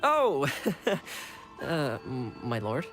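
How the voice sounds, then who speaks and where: A woman playing an admiring, smooth-talking retainer in a video game, deferential yet playful and expressive. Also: a bass voice, very slow, exaggerated voice. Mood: flustered. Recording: music under the voice. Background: background music.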